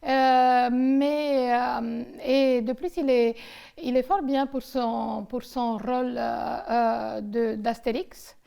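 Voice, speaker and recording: A woman's voice: on the high side, fairly even intonation, slow pace, creaky, warm tone, clear, resonant tone. A woman interviewing someone casually about film, talking in a rambling, hesitant way full of digressions.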